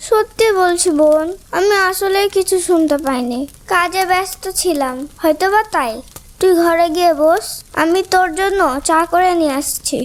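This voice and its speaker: A woman's voice: extremely high pitch, moderate pace. A woman voicing a meek, devoted, long-suffering young village wife in a cartoon melodrama, pleading and submissive. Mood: apologetic. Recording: music under the voice.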